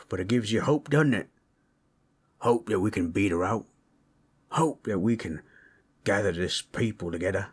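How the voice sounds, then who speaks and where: A man voicing a gentle, proud orc warrior in an intimate audio roleplay, telling tales in a folksy, rough-hewn, husky way. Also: low voice, heavily acted. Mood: soft, teasing. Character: gentle, proud male orc warrior storyteller, affectionate, teasing. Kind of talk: intimate comfort roleplay storytelling.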